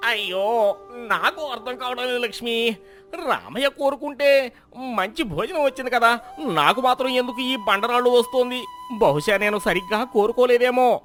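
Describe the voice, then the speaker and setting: A man's voice: very high voice, normal speed, a bit bright, soft background music. A man playing a greedy, self-pitying village husband in a moral tale, with dramatic emotional delivery. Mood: bewildered.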